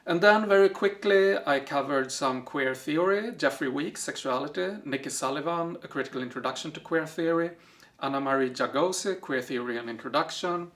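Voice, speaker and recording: A man whose first language is Swedish, talking casually through the books he read, with frequent pauses and fillers. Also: mid-range voice, a bit bright. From Sweden. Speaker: book vlogger. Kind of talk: casual book review vlog.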